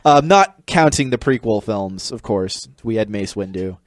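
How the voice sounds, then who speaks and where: A man's voice: fairly low pitch, expressive, moderate pace, dry recording. A man talking casually and bluntly as an opinionated podcast co-host, giving entertainment commentary on a close microphone.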